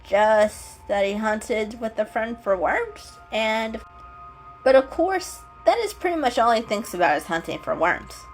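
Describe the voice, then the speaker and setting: A direct, inquisitive cat from a storybook, put on by a woman. The voice is high and swings expressively, with music beneath it. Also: animated, unhurried, rough recording. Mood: exasperated.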